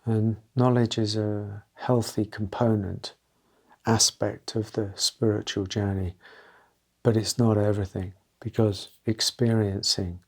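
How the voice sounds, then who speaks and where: A man leading a guided meditation, talking gently and unscripted in short, halting phrases with pauses between them. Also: lowish voice, little pitch movement, breathy edge.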